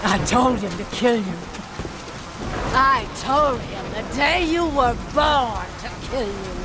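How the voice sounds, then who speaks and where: A woman's voice: a little high, expressive, takes it slowly. An actress playing a vengeful, scornful goddess queen in a video game cutscene, with a haughty, accusing, dramatic delivery. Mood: bitter, accusing. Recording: loud music behind the voice.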